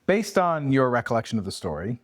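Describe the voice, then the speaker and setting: A man's voice: mid-range voice, very animated, fairly quick, no echo, clean studio sound. A man co-hosting a casual book chat, reading aloud and commenting with playful, joking asides. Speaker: podcast co-host reading a classic aloud.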